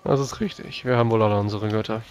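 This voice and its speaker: A man's voice: lowish voice, steady tone, fast talker, darkish tone, a little hiss or hum. A man playing a tabletop role-playing game, giving matter-of-fact advice in character. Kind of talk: improvised in-character game talk.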